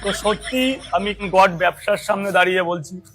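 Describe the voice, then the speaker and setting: A man's voice: high voice, normal speed, noisy background. A man, a food seller, talking casually and boastfully in an informal clip. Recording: busy crowd around the voice.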